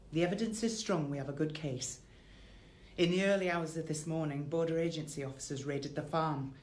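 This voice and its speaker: A woman playing a matter-of-fact police officer in a radio drama, measured and official, in a roomy echo. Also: low voice, a little mellow, lightly acted.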